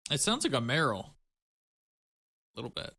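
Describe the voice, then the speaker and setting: A woman with a strikingly deep voice talks casually as the co-host of a gaming chat. Her pitch moves around a lot, giving her lines a lively, animated shape. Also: moderate pace, vocal fry.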